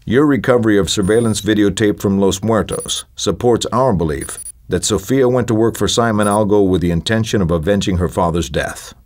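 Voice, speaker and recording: A man playing a formal, authoritative spy agency briefer, reading a measured scripted mission briefing. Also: on the low side, darkish tone, close to an everyday voice. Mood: official, grave. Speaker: mission briefing narrator in a video game.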